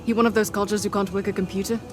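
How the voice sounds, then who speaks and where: A woman's voice: medium pitch, flat delivery, rapid-fire, music in the background, natural voice. A woman playing a blunt, no-nonsense female mercenary in a video game cutscene, with a dry, clipped, critical manner. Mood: observant, matter-of-fact.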